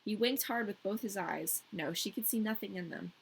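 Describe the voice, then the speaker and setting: A woman's voice: medium pitch, normal speed, gravelly, breathy edge, poor audio. A woman reading a fairy tale as a storyteller, informal and playful with her listener. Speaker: storyteller, podcast host. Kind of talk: scripted fairy tale narration with casual asides.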